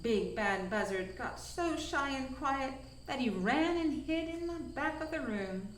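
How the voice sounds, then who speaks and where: Female storyteller, lively swooping intonation, deliberate emphasis, children's read-aloud, some background noise. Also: high voice. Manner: dramatic, deliberate, drawn-out emphasis. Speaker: storyteller from a science museum. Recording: echoey room, faint insect-like noise.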